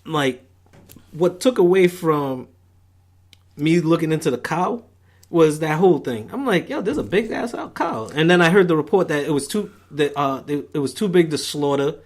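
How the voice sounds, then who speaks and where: Joking New man, higher voice. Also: normal speed. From Brooklyn, New York. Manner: joking, animated, laughs often. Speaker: podcast co-host. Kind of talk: casual podcast banter.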